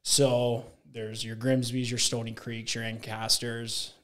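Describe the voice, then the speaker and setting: A man's voice: mid-range voice, level tone, takes it slowly, fairly bright tone. A man in his thirties from Ontario, a podcast guest who talks casually in long, rambling runs, full of fillers and self-corrections. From Ontario, Canada. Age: 30s. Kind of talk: casual chat.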